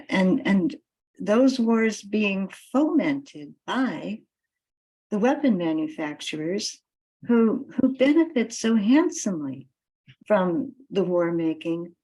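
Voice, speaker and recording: A woman activist giving an informal campaign talk, speaking in deliberate, halting phrases with emphatic stresses on key words. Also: mid-range voice, darkish tone, poor audio.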